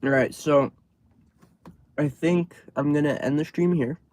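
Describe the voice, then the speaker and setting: A man reading horror stories on a live stream, outdoors at night, casual and tripping over words, his voice going hoarse. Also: on the high side, measured pace, a little mellow. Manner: swears freely, stumbles over words. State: losing his voice.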